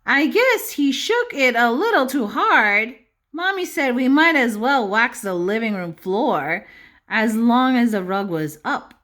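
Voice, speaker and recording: A woman teacher reading a picture book aloud to young children in an expressive, instructive way, often pausing to ask them questions. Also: fairly high pitch.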